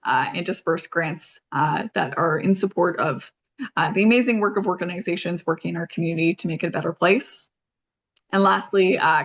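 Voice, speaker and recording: A woman hosting an informal presentation as a community foundation leader, speaking in loosely structured, promotional phrases. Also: fairly low pitch. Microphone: video call. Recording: slightly dull, lo-fi online call audio.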